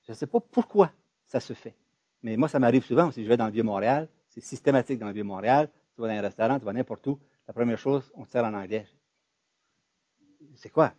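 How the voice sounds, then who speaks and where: A man from Ontario, moderating an academic discussion in an emphatic, animated way. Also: mid-range voice, fast talker, no echo. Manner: emphatic, animated, rising loud on key points.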